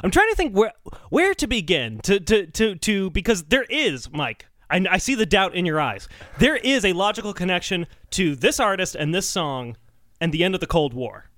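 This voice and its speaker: A man with a bright, fairly high voice hosts a comedy podcast. His delivery swings widely in pitch as he chats casually, moving between jokey and mock-serious. The sound is close and dry. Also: sing-song, moderate pace, dry recording. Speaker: podcast host.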